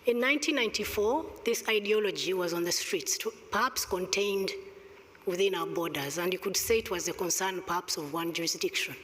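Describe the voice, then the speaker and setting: A middle-aged woman with a Rwandan accent making emphatic, deliberate panel remarks into a table microphone. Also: on the low side, fairly bright tone. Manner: emphatic, deliberate argument with pointed rhetorical questions. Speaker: conference panelist. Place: large indoor conference hall, on stage. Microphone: gooseneck table microphone. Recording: reverberant conference hall sound.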